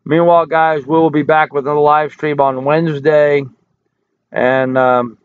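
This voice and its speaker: An older man hosting a casual live stream, hoarse from hours of talk, folksy and rambling as he answers chat comments. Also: a little high, flat delivery, moderate pace, no room sound. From United States. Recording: dry, tinny phone-like audio.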